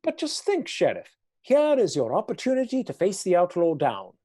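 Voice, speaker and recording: Dark-voiced scheming nobleman. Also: high voice, huge pitch swings, exaggerated voice. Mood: scheming, commanding. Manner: measured, formal, archaic phrasing. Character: scheming, commanding male Earl, coolly menacing nobleman. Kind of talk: radio play acting.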